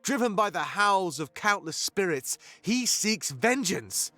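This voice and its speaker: An actor playing a fervent rebel leader in a fantasy video game, giving grandiose, oratorical speeches. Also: male voice, high-pitched, very animated, a little slow, bright, forceful tone, no echo, exaggerated voice. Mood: earnest, rousing. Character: fervent male rebel leader, an anthropomorphic animal rallying his fighters. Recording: music behind the voice. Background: music.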